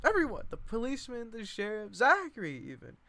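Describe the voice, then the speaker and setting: Man putting on an anxious high-pitched fussing woman. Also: huge pitch swings, brisk, bright, forceful tone, heavily acted. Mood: horrified, distraught. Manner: gushing, stammering, repetitive. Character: anxious, fussing, easily horrified Southern stage-play sister. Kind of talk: amateur stage play read-through.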